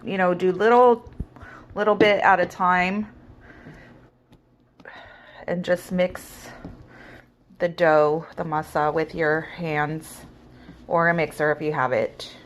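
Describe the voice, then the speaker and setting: A woman with a cold walks through a home cooking tutorial in a somewhat low, raspy voice. She gives relaxed step-by-step instructions with plenty of ums. Faint background noise sits under her voice. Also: takes it slowly, pauses often. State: hoarse with a cold. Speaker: home cook.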